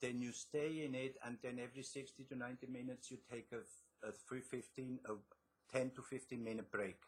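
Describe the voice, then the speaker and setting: A man's voice: medium pitch, flat delivery, fairly quick. A middle-aged man from Switzerland with a Swiss accent, a guest author explaining his methods in an interview, with frequent restarts. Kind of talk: interview answers.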